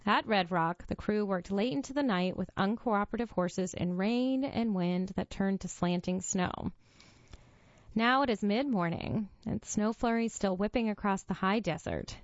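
A woman reading a magazine article aloud for a reading service, in a measured, even narration. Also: medium pitch, no echo. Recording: dull, lo-fi dry studio voice.

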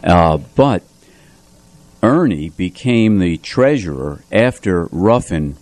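A middle-aged man chatting casually as a community radio host, pausing to recall details as he reminisces. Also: low voice, expressive, a little mellow, no echo. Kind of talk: casual studio conversation. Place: radio studio, dry sound.

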